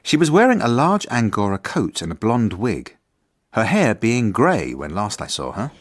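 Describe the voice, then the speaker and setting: A man's voice: lowish voice, wildly swooping pitch, normal speed. An actor playing a prim, earnest headmaster in a radio drama, formal and easily flustered as he tells his story. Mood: prim recounting. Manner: formal, proper, awkward. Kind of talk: radio drama narration and dialogue.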